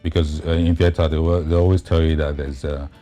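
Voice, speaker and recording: A man explaining things haltingly and deliberately as an interview guest. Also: very deep voice, little pitch movement, warm tone, soft background music. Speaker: guest actor.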